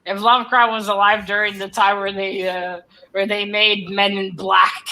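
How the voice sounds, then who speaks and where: A woman's voice: mid-range voice, flat delivery, normal speed, pushed and bright, slight background noise. A woman co-hosting a casual livestream chat in a playful, jokey way. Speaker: co-host of a livestream read-along.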